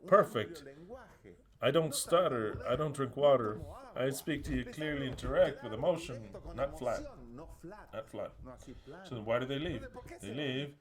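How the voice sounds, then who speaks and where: A man with an Argentinian accent preaching a sermon, drilling his listeners to repeat phrases after him and swinging between loud stresses and quiet asides. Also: medium pitch, a little slow, long pauses, a crowd in the background.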